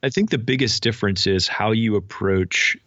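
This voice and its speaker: An American man speaking as an interview guest, explaining things in a thoughtful, measured, conversational way. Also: fairly low pitch, pushed and bright, no room sound, muffled recording. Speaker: podcast guest, spiritual director and pastor.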